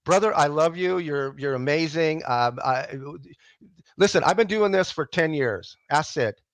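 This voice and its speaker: Loud, emphatic man on a video call. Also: measured pace, no room sound. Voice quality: loud booming voice. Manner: emphatic, repeats himself to end the argument. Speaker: atheist debater. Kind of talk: heated casual debate.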